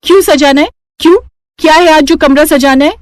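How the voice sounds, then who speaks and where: A haughty young bride from a rich house, acted by a woman storyteller. Her high voice snaps with scorn and rudeness, as in a cartoon family drama. The sound is close and dry. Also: brisk, dry recording, natural voice. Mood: snappy. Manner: snapping, scornful.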